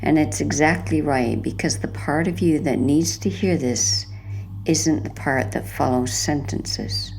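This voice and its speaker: Low-voiced woman guiding slow sleep hypnosis. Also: gravelly, slight background noise. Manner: soothing, hushed, gentle, lingering phrases. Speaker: hypnotherapy narrator. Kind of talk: sleep hypnosis, guided relaxation.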